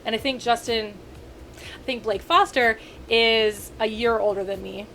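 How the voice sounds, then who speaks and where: A woman in her thirties, a video critic ranting casually to camera, rambling and animated with frequent self-corrections and asides. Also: a little high, measured pace, a little hiss or hum. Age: 30s.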